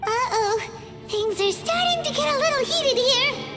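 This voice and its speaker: A woman voicing a tiny, excitable, easily indignant flying childlike sprite in a video game, squeaky and childish. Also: measured pace. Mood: alarmed. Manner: childish, speaks of self in third person. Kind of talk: animated video game voice acting. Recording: loud game music behind the voice.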